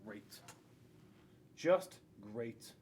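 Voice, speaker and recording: A man's voice: animated, extremely slow, a little mellow. An American man in his forties reads a children's picture book aloud dramatically, putting questions to the listening children. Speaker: school resource police officer. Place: school classroom, indoors. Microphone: camera a few feet away. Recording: noticeable room noise with low rumble.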